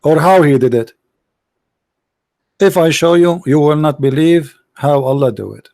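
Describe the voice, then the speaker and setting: A man's voice: fairly high pitch, darkish tone, no echo, studio quality. Mocking, combative male debate host. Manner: mocking, combative, rapid-fire questions; non-native English grammar. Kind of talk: confrontational live debate.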